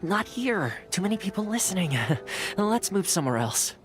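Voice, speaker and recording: A man playing an easygoing, smooth-talking young fixer in a video game, genial and coaxing. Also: high-pitched, big pitch swings, normal speed. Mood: lighthearted. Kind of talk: video game character acting.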